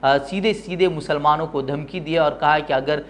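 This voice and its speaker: A man's voice: a little high, fairly even intonation, moderate pace, a bit bright. A man presenting opinionated news with formal, literary diction. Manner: formal Urdu-heavy diction.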